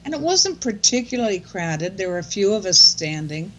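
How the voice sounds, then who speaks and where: A woman's voice: mid-range voice, very animated, moderate pace, a little hiss or hum, low-quality recording. An older woman as a guest in a casual chat, speaking in a thoughtful, reflective way.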